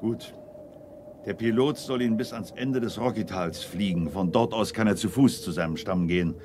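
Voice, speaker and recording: A man plays a brisk general in a radio drama. His voice is on the low side, clipped and authoritative, with faint engine noise behind him. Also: natural voice. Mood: commanding. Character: brisk commanding male army general. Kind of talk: radio play acting.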